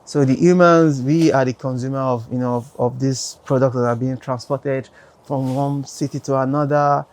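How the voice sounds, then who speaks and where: A man's voice: mid-range voice, fairly even intonation, normal speed, a little mellow. A male artist from Nigeria speaking reflectively about his work, constantly dropping "you know". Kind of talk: talking about his artwork.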